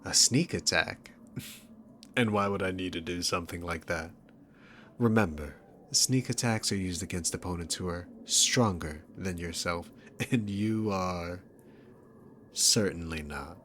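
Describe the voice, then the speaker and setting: A man's voice: low-pitched, fairly bright tone, a little hiss or hum. A man playing a smug, sarcastic, dominant demon king villain in an ASMR roleplay, mocking and condescending as he addresses the listener. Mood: mocking, boastful. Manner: mocking, condescending, unhurried, punctuated by chuckles.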